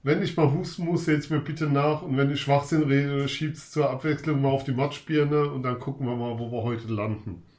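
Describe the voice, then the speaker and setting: A man's voice: medium pitch, steady tone, normal speed, hardly any pauses, darkish tone, roomy sound. A man with a cold, hosting a casual sports podcast in an upbeat, chatty way. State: has a cold. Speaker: podcast host.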